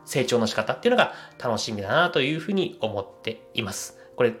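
A man hosts a casual solo audio show at a quick pace. His pitch sits in an average male range. He explains things in halting, hedging phrases, as someone talking off the cuff to listeners. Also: big pitch swings, a bit bright, soft background music. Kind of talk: casual solo podcast talk.

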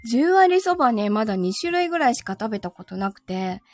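A woman's voice: a little high, big pitch swings, brisk, no echo, studio quality. A woman hosting a live stream, chatting casually in a playful, giggly way and reading out listener comments. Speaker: live stream host and voice performer.

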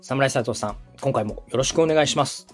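A man's voice: mid-range voice, fairly quick, faint music behind. A man from Osaka hosting a podcast, coaching in a polite, methodical way as he explains things. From Osaka, Japan. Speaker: podcast host and radio director. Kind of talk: structured coaching conversation.

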